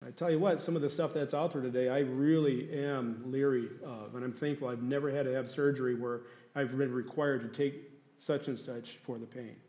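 A man's voice: mid-range voice, fairly even intonation, a little fast, dark tone. A male pastor preaching a sermon in a conversational way, often asking the congregation questions. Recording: echoey room.

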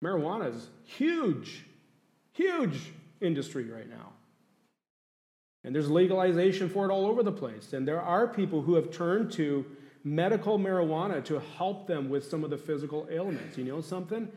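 A man's voice: on the high side, very animated, moderate pace, mellow. A male pastor preaching a sermon in a conversational way, often asking the congregation questions. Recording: echoey room.

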